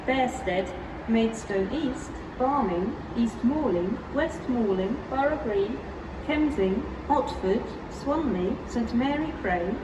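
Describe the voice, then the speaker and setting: A British woman reads formal, measured train announcements in separate scripted phrases over a railway station's public address system. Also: fairly high pitch. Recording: distant, echoing station PA with clear noise.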